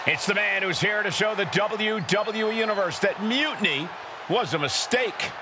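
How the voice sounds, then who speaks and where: A man playing a formal ring announcer in a wrestling game, shouting drawn-out introductions with a booming arena echo. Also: very noisy. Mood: grand, hyping. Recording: echoing arena PA, loud crowd and music.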